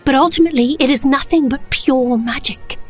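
An actress playing an earnest, romantic young sorceress princess, narrating a fantasy audio drama with expressive, breathy delivery. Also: female voice, high voice, brisk, faint music behind, lightly acted. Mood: excited, proud.

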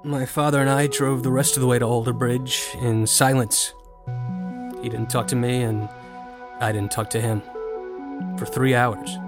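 Brash, entitled son, played by a male actor for scripted audio fiction; mid-pitched, wry, mouthy, music underneath. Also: level tone, normal speed, pauses often, lightly acted. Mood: wry, reflective. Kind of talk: dramatic first-person audio fiction narration and dialogue.

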